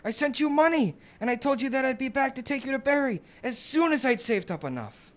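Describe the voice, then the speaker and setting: A man's voice: very high voice, lively intonation, a little fast, a bit bright. A man playing an earnest, tender young bridegroom in a radio drama, eager and reassuring.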